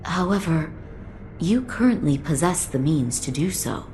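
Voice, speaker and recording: A woman playing a calm, knowledgeable physician in a video game, courteous, formal and reserved. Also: low-pitched, measured pace, slight background noise. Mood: calm, grave. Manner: formal, composed. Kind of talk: video game cutscene acting.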